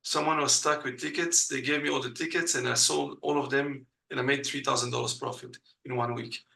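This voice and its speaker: A man from Belgium speaks as an in a casual interview. His voice is gravelly yet bright and forward. He talks hesitantly and fills his pauses with plenty of "uh". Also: mid-range voice, steady tone. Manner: hesitant, many uh fillers, non-native grammar. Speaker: guest.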